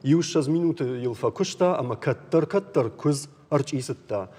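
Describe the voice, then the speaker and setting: A man's voice: mid-range voice, animated, moderate pace, dark tone, long reverb tail. A man co-hosting a talk show, presenting news items in a conversational style.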